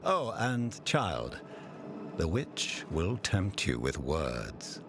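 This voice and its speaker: An actor playing an imperious, cruel ruler who commands holy inquisitors in a fantasy game, with a grandiose and menacing manner. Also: male voice, low-pitched, big pitch swings, drawn-out words, vocal fry, over music, exaggerated voice. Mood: quiet menace. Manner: grandiose, theatrical in proclamation, menacing in private. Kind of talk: dramatic fantasy game acting.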